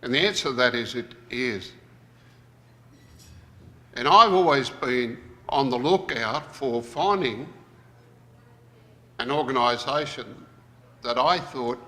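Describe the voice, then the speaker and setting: A man's voice: mid-range voice, pushed and bright. A man giving a reflective plenary talk at a conference, thinking aloud as he goes. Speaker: conference presenter. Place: conference hall. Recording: reverberant conference hall.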